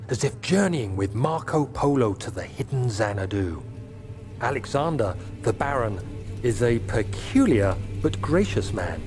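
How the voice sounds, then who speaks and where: Actor as genteel diarist, lively expressive pitch, measured literary reading over loud music. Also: male voice, close to an everyday voice. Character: young male gentleman diarist, refined. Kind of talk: reading diary entries in a game.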